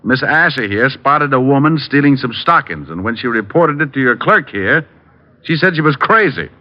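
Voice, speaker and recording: A man's voice: medium pitch, lively intonation, moderate pace, lightly acted. A man playing a flippant, teasing private detective in mid-century radio drama style, full of slang. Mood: irked. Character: wisecracking, playful male private detective, teasing his girlfriend. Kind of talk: 1940s-50s radio detective drama acting. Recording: dull old-time radio recording.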